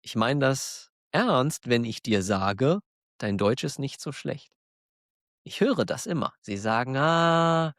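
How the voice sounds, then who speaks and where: Slow, encouraging German man teaching. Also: medium pitch, lively intonation, fairly bright tone, dry recording, clean studio sound. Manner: encouraging, self-deprecating, laughs often. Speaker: German teacher, podcast host. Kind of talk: slow, clear monologue for learners.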